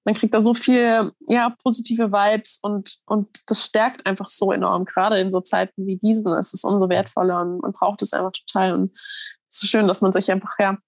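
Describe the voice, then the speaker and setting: Woman, slightly hoarse, earnest, filler-heavy, dry close sound. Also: medium pitch, little pitch movement, normal speed, dry recording. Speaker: musician guest. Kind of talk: casual interview talk.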